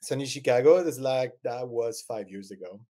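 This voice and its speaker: A man's voice: mid-range voice, moderate pace, mellow. French-accented middle-aged man, rambling guest. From France. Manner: rambling, hesitant, many ums. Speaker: guest, eyewear designer. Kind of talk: casual interview chat.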